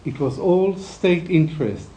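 Slow, mellow older man, academic speaker. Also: high voice, a little hiss or hum, poor audio. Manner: measured, hesitant phrasing with deliberate pauses. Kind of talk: reflective academic talk at a conference.

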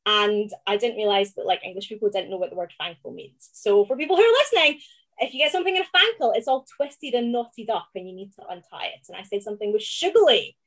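A woman with a Glaswegian accent, speaking as a classics researcher in a chatty, animated interview and laughing often. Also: medium pitch, fast talker. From Scotland. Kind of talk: conversational podcast interview.

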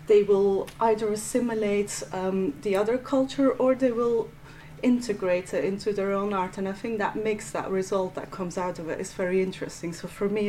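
A woman's voice: fairly low pitch, mellow, slight background noise, poor audio. Flemish-accented woman lecturing on art. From Belgium (Flanders). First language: Dutch. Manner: measured, with frequent restarts and um's. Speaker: museum curator giving a lecture. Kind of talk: prepared lecture.

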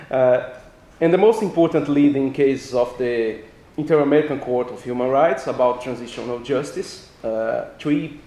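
A man's voice: mid-range voice, moderate pace, dark tone. Casting: male law professor, Portuguese-speaking Brazilian accent, careful non-native lecturing, mid-range voice in a reverberant room. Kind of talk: academic lecture. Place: echoey indoor room.

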